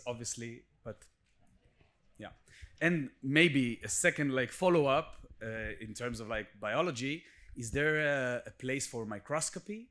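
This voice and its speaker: A man from Israel with an Israeli accent, a non-native English speaker, asking a question from the audience in a halting, word-searching way. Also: medium pitch, fairly bright tone. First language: not English. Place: live conference audience.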